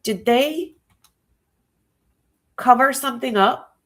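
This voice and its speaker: A woman's voice: high voice, takes it slowly, fairly bright tone. A woman hosting a true crime livestream, musing aloud to her viewers with a stuffy nose. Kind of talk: casual live-stream commentary.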